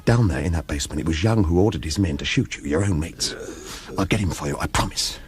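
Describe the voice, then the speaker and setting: An actor playing a bitter, driven male investigator in a radio drama, terse and insistent, with halting repeats. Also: sits low, natural voice. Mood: low, urgent.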